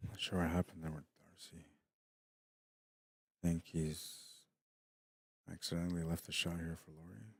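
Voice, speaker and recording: Hushed deep-voiced man calling pool. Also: little pitch movement, creaky, slightly rough, no echo, studio quality. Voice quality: very deep bass voice. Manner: soft, hushed, unhurried. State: tired late in the day. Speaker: pool tournament commentator. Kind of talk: quiet live sports commentary. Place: pool hall during a tournament.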